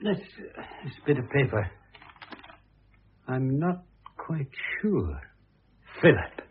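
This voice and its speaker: Brusque, impatient husband, swooping intonation. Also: male voice, mid-range voice, very animated, takes it slowly, husky, exaggerated voice. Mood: puzzled, suspicious. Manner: blunt, puzzled, impatient. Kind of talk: classic radio play acting. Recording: noisy, narrow-band old radio recording with clicks.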